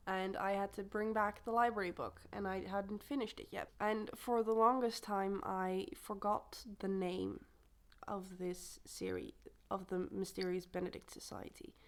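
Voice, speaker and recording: A young woman chatting casually about her reading plans, her voice a little croaky from a recent illness, rambling and correcting herself as she goes. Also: medium pitch. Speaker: booktuber. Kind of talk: casual chat to camera.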